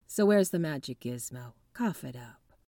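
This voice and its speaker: A woman's voice: a bass voice, lively intonation, unhurried, creaky, mellow, full character voice. A woman playing a no-nonsense, matter-of-fact occult detective in a 1940s noir radio drama. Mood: stern, interrogating. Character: brisk, no-nonsense female detective with occult know-how.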